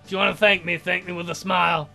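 A man voicing a caring, practical young woman from a visual novel, gently scolding and teasing. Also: high voice, level tone, fast, gravelly, soft background music, heavily acted. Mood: reassuring.

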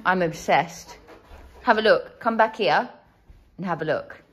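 A woman's voice: lowish voice, unhurried, soft background music. An enthusiastic woman in her thirties chatting casually for a home vlog, recorded indoors on a handheld device. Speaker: vlogger. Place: living room and kitchen at home, indoors. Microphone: handheld phone or camera, near.

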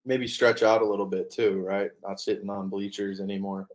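A man's voice: lowish voice, little pitch movement, moderate pace. A man co-hosting a show, chatting casually on a video call. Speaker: show co-host. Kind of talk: casual chat, reminiscing. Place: indoor room, video call.

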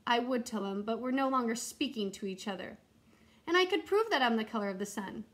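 A woman's voice: a little high, expressive, fairly quick, fairly bright tone. A woman voicing an indignant, boastful crayon in a storybook, insistent and self-important.

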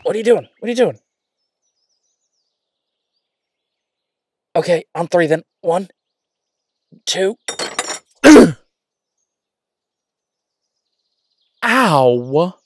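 A man's voice: high voice, sing-song, takes it slowly, breathy edge, heavily acted. A man playing a caring, easygoing boyfriend in an intimate audio roleplay, talking in a hesitant, reassuring, conversational way. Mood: straining. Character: caring, easygoing human boyfriend of a werewolf woman.

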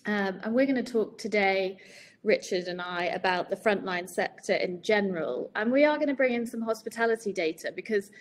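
A woman in her forties hosting a webinar over a video call, chatty and relaxed, with many ums. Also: mid-range voice, brisk, a little hiss or hum. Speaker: webinar host. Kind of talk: casual webinar chat. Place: home room with shutters and plants. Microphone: video call laptop mic.

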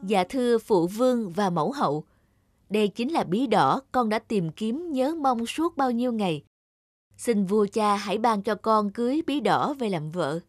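A woman's voice: mid-range voice, lively intonation, normal speed, dry recording, natural voice. A woman voicing a lovesick young prince in a cartoon fairy tale, earnest and respectful. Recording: music under the voice.

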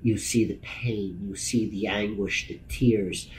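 Low-voiced New York man, plain-spoken. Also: steady tone, takes it slowly, darkish tone. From Brooklyn, New York. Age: 50s. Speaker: news photographer and editor. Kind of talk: documentary interview, personal account. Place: meeting room indoors.

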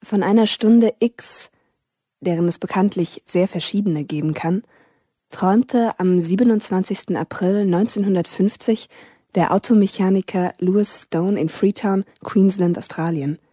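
An actress playing a sober, matter-of-fact narrator, giving formal scripted narration in a radio play. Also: female voice, mid-range voice, unhurried, warm tone, slightly rough, no echo, clean studio sound. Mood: detached, dry.

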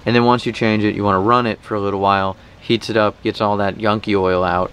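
Low-pitched man, casual vlog host. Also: level tone, quick pace, a bit bright. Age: 30s. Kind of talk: casual sponsored talk to camera. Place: outdoors in a boat cockpit at a marina. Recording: faint outdoor marina background noise.

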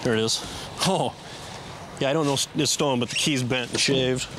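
A male police officer talking plainly and barking slow loud commands at a night traffic stop. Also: mid-range voice. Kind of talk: field commands and casual police talk. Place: outdoors at night on a roadside. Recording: noisy outdoor field audio.

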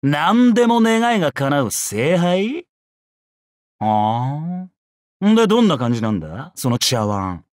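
Brash, swinging-pitched samurai brute. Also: male voice, big pitch swings, slow, dry recording, clean studio sound. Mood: casual, cocky. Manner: rough, swaggering delinquent-like speech. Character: brash, bloodthirsty, boisterous young male samurai warrior. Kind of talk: Japanese mobile game character voice lines.

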